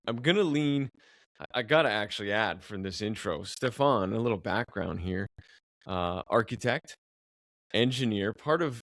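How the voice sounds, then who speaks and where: A man's voice: medium pitch, fairly bright tone, no echo, clean studio sound. A man hosting a podcast, upbeat and enthusiastic, often repeating words as he goes. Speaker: podcast host. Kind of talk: podcast interview.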